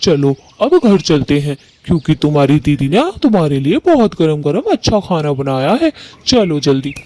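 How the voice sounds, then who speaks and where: A man's voice: on the high side, sing-song, moderate pace, mellow, slight background noise. A man voicing an earnest, affectionate male bird who talks in a coaxing way in a cartoon story. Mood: fond, coaxing. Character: earnest, affectionate young male bird suitor and brother-in-law. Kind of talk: animated story dialogue.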